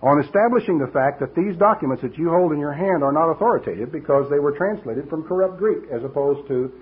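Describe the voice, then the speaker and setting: An older man preaching an expository sermon, teaching emphatically with rhetorical asides, in a husky voice. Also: on the high side, expressive, fast. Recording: dull, lo-fi radio-like recording.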